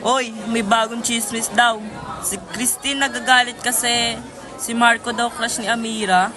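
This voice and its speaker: A woman's voice: a little high, fairly even intonation, a little slow, music in the background, a crowd in the background, lightly acted. A woman playing a chatty, loyal teenage schoolgirl sidekick in an amateur radio drama, slangy and teasing. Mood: gossipy.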